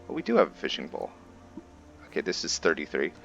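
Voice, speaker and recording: A man's voice: deep voice, wildly swooping pitch, a little fast, vocal fry, a bit bright, highs cut off, soft background music. A man giving casual let's play commentary, musing and explaining as he goes. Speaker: host of a gaming roleplay series.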